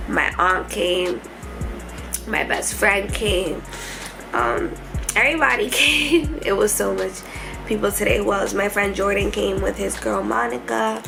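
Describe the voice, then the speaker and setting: A young woman talks in a relaxed, candid way from a hospital room, laughing easily. Her mid-pitched voice carries a lot of vocal fry, and she sounds tired and slightly stuffy. Music plays clearly in the background. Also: poor audio. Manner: chatty, candid, laughs often. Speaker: vlogger. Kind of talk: casual hospital vlog.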